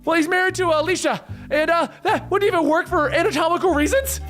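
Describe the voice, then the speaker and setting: A man's voice: extremely high pitch, fast, fairly bright tone, faint music behind, voice pushed up. A man voicing a chatty, impulsive, easily flustered young female antihero in a comic book story, stammering and dramatic.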